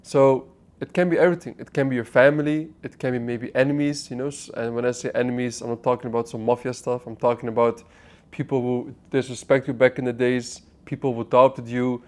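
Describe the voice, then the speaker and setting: A man's voice: medium pitch, level tone, brisk, a little mellow. A man in his twenties from the Netherlands giving an unscripted motivational talk to camera, blunt and swearing, often restarting his sentences as he speaks straight to the viewer. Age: 20s. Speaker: online business vlogger. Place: apartment.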